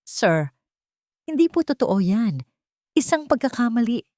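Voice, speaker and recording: A woman's voice: fairly high pitch, big pitch swings, a little slow, dark tone, dry recording, studio quality, pitched above the performer's own voice. A Filipino woman voicing a proud, flustered male army major in a dramatic story. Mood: protesting.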